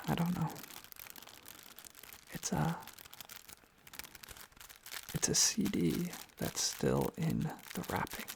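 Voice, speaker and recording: An ASMR host rambling softly and sleepily in a whisper, often trailing off into repeated phrases. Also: mid-range voice, creaky, slight background noise.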